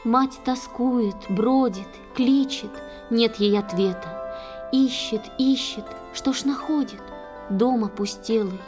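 A woman performing in a children's audio play, reciting verse lyrically and expressively with drawn-out phrases. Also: on the high side, music in the background.

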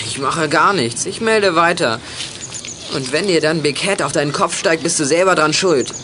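An actor playing a shifty, menacing teenage drug courier in a youth radio play, talking in curt slang. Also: male voice, fairly high pitch, normal speed, lightly acted. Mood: threatening. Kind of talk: 1980s German youth radio play acting. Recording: noisy old radio play recording.

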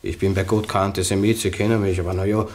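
An actor playing a smug, penny-pinching, bigoted official in a radio play, creaky-voiced and grumbling, with an Austrian accent. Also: male voice, low voice, flat delivery, fast talker, darkish tone, a little hiss or hum, natural voice. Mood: grudging. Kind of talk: German-language radio play dialogue.

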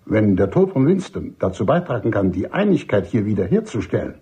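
A man playing an older army major and doctor in a radio drama, husky, emphatic and cynical, courteous but dismissive. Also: fairly low pitch, very animated, brisk, mellow, exaggerated voice. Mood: stern, bitter. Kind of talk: German radio drama acting.

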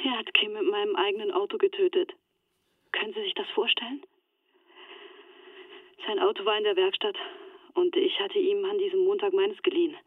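A woman's voice: medium pitch, fairly even intonation, normal speed, long pauses, no echo. An actress in a radio play playing a shaken young woman in hiding, confiding over a telephone. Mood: grim, explanatory. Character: shaken Turkish-Swiss woman in hiding, recounting betrayal. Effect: telephone filter. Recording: thin, dry phone-line sound.

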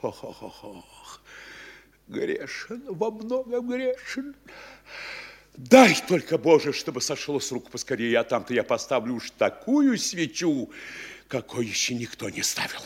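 An actor playing a fretful, scheming middle-aged provincial mayor, muttering to himself and bursting into shouts. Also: male voice, medium pitch, big pitch swings, slow pace, slightly rough, full character voice. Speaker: actor in a radio play. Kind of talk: classic stage radio acting.

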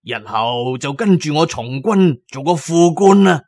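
A man's voice: on the high side, expressive, measured pace, a little mellow. A man voicing a coarse, domineering warlord officer in a Cantonese audio drama. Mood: gruff approval. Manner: coarse, commanding. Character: gruff, domineering warlord officer, grown man, magnanimous but brutal.

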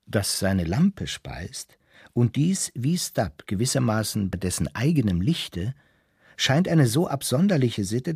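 An actor playing a wry, learned seafaring storyteller, lecturing the listener in a literary radio drama. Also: male voice, mid-range voice, darkish tone, breathy edge, no room sound, clean studio sound, exaggerated voice. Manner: mock-scholarly, addresses listeners, rhetorical.

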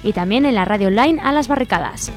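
A woman's voice: a little high, animated, quick pace. A woman with an accent from Spain, co-hosting a community radio show in a studio. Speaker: radio co-host. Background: music.

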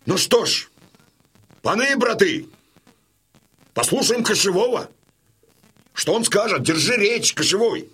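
An actor playing a stern, gruff Cossack colonel with a husky voice, giving orders in a dramatised audiobook. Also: male voice, high-pitched, very animated, unhurried, long pauses, bright, forceful tone, full character voice. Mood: loud summons.

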